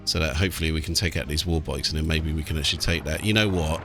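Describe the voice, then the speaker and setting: A man's voice: very deep voice, fairly even intonation, quick pace. A British man giving casual, chatty let's play commentary on a strategy game, thinking aloud as he goes. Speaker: gaming channel host. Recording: game music and battle sounds behind. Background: video game music, artillery and vehicle sounds.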